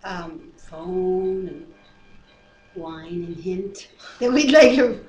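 A woman's voice: on the low side, lively intonation, drawn-out words, darkish tone. Chatty older woman, echoey home recording. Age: middle-aged to older. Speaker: co-host of a Bible reading series. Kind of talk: casual devotional chat. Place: living room at home. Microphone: webcam microphone at arm's length. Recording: roomy webcam audio with faint noise.

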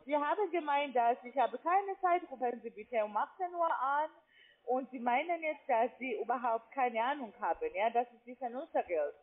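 Insistent high-voiced woman on the phone. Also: lively intonation, normal speed. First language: not German. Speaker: call centre sales agent. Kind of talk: phone sales pitch. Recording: tinny phone line.

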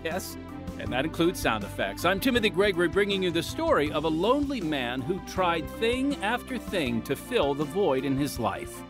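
A man's voice: on the high side, big pitch swings, moderate pace. A man hosting an audio drama, introducing it in a polished, theatrical announcer's style. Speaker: host. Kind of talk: scripted radio drama introduction. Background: music.

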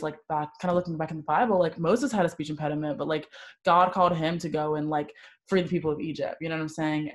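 Low-voiced young woman, fast and stuttering. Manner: fast talker who stutters at times. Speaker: youth panelist. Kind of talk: casual panel talk. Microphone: video call.

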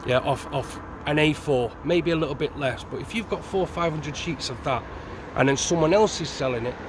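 A middle-aged man explains things in an earnest, matter-of-fact way. He speaks into a handheld mic outdoors on a street at night. Traffic and street noise are clearly audible behind him. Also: a little high, moderate pace. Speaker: interview guest, former prisoner. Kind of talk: casual interview answers.

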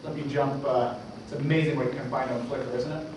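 A man giving an informal tech presentation to an audience, talking in a casual, halting, conversational way. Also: mid-range voice, steady tone. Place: meetup room with an audience. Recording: echoey room from far off, clear noise.

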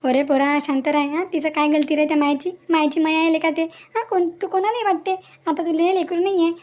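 A woman's voice: high voice, steady tone, brisk, darkish tone, close to an everyday voice. A woman voicing a worried, folksy village woman in a cartoon family drama, with a Varhadi Marathi accent and a plaintive manner. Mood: reproachful, sorrowful.

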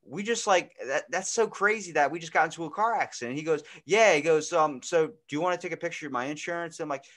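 A man in his twenties chatting casually as a podcast host, telling stories in an animated, slangy way with frequent swearing. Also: a little high, fast talker, few pauses. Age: 20s. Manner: animated, profane, slangy storyteller. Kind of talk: casual chat, storytelling. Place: indoor room, podcast video call.

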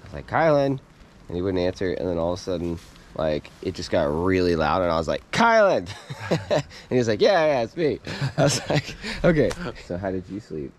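A man's voice: deep voice, sing-song, brisk. An American man in his thirties hosting a camping vlog, talking to a handheld camera outdoors and laughing often. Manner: upbeat, laughs often, talks straight to camera. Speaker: outdoor vlogger host. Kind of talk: casual camping vlog chat. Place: outdoors in a rainy forest camp. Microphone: handheld camera held close.

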